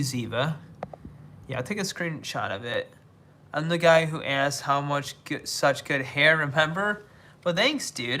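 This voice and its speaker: A young American man livestreaming from Asia, reading viewer comments aloud and answering them in a casual, halting way. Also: a little high, sharp tone, slight background noise. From United States. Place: living somewhere in Asia.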